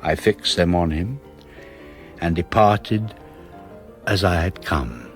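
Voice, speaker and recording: A very deep-voiced English murderer, narrates a confession in a radio play over clear music. He is clinical and precise, taking his time, with an arrogant self-satisfaction. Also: takes it slowly. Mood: smug, detached. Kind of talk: radio drama monologue, confession read as narration.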